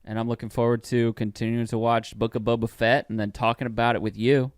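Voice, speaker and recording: Smooth, clear-voiced man, teasing. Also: medium pitch, little pitch movement, quick pace, no echo, studio quality. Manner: playful, teasing. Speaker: podcast co-host. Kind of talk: casual chat.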